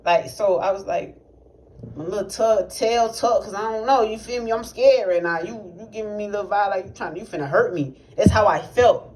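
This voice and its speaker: A man's voice: high voice, moderate pace, a little mellow. A young man speaking tells a personal testimony in casual, rambling, profane talk, full of fillers and restarts. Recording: noisy recording with clicks.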